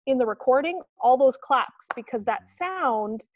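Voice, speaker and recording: A woman's voice: high-pitched, animated, unhurried, darkish tone, no room sound. A woman, a teacher explaining something informally to peers on a video call. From Canada. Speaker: middle school teacher.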